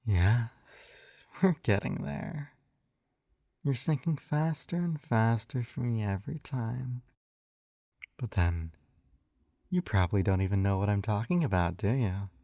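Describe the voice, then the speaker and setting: A man's voice: fairly low pitch, lively intonation, takes it slowly, long pauses, dark tone, no echo, clean studio sound. A man playing a possessive, doting hypnotist captor in an intimate ASMR roleplay, coaxing and soothing, often slipping into a whisper. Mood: smug, doting. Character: possessive, doting male yandere hypnotist captor, gently controlling.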